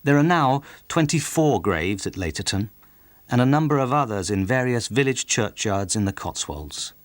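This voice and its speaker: A man delivers scripted narration for a historical documentary. His mid-pitched voice is recorded dry, with very little room around it. He presents the material as the programme's narrator. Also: lively intonation, normal speed, dry recording.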